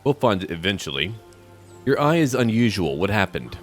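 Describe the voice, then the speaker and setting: A man's voice: fairly low pitch, sing-song, moderate pace. A man hosting a roleplay video game playthrough, commenting and talking to the characters in first person. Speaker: gaming video host. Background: game music.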